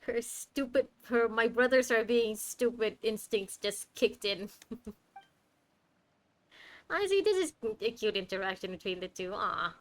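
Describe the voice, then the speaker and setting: A woman's voice: on the high side, expressive, a little slow. A woman game streamer giving casual, reactive playthrough commentary with a wry way of talking.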